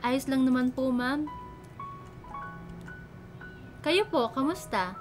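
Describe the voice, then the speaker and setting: A woman's voice: high-pitched, normal speed, close to an everyday voice. A woman playing a protective, loving, humble mother in a radio drama. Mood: friendly, modest. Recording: music bed behind the voice.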